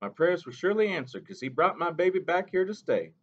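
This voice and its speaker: A man's voice: fairly high pitch, big pitch swings, brisk, fairly bright tone, husky, pitched above the performer's own voice, heavily acted. A man voicing a devout older woman, a doting mother who speaks in a fervent, lilting way. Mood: tender. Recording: dull lo-fi recording.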